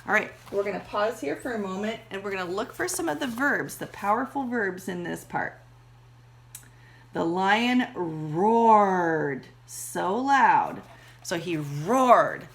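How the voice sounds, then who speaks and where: A woman teacher reading a children's story aloud with dramatic emphasis, pausing to speak directly to her pupils. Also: mid-range voice, lively intonation, husky. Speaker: second grade teacher. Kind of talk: expressive storybook read-aloud with teaching asides.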